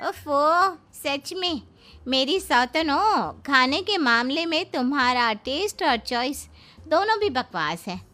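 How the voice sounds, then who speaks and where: A woman voicing a sharp-tongued, self-important elderly mother-in-law in an animated moral story, theatrical, scolding and self-pitying. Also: sits high, slow, soft background music, exaggerated voice. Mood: scolding, haughty.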